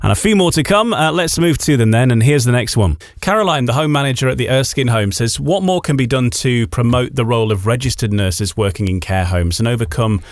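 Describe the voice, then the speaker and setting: A man's voice: mid-range voice, expressive, a little fast, few pauses, dry recording. Male radio host, dry close sound. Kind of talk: radio interview hosting, reading out listeners' questions.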